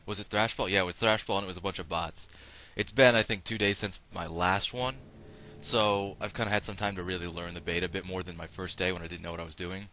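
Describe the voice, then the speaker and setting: A man giving rambling, casual running commentary while playing an online shooter, his voice slightly raspy and hoarse from a cold, often breaking off mid-thought to react to the action. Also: sits low, fast. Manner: rambling, self-interrupting, bursts of excitement at game action. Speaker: gameplay commentator.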